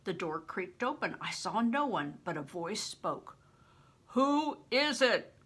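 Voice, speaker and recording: An older woman with a breathy voice, reading a novel aloud and giving the characters voices of their own. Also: on the low side, animated, a little slow, a bit bright. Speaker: reader.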